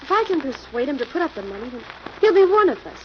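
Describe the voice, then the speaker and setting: A woman's voice: sits high, big pitch swings, fast. A woman playing a charming stage actress in a 1940s radio drama, with an earnest theatrical manner. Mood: earnest, uneasy. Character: charming stage actress torn between career and jealous husband. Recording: dull, noisy old radio recording.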